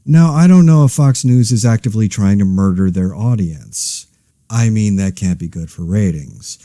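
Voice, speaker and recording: A man giving a casual, rambling mock news commentary in a wry, deadpan, self-interrupting way, as the host of a satirical podcast. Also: lowish voice, moderate pace, dry recording, studio quality.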